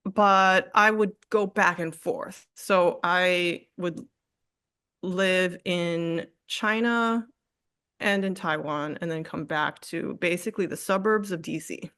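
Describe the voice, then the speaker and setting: A woman artist in a candid interview, speaking reflectively with frequent fillers, restarts and soft laughs. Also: on the low side, fairly even intonation, takes it slowly, clear, resonant tone, dry recording, studio quality. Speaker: artist guest.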